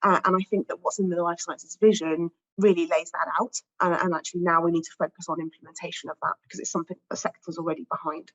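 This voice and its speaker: A woman speaking on an expert panel, slightly croaky from a cold, giving a measured prepared statement with frequent ums and repeated words. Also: on the low side, flat delivery, a bit bright, highs cut off. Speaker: research director on an expert panel.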